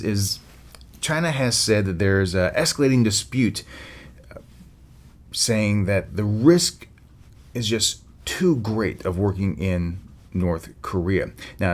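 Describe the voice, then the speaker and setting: Guy hosting a news podcast, kinda nasal with a cold, lowish voice that swings all over. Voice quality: a little nasal. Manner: animated, upbeat delivery with frequent "uh" fillers. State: slight head cold. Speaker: podcast host. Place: his office.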